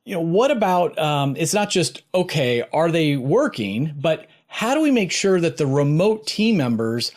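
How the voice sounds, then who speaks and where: Higher-pitched male interview host. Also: very animated, a little slow, hardly any pauses, a little mellow. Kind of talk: conversational interviewing.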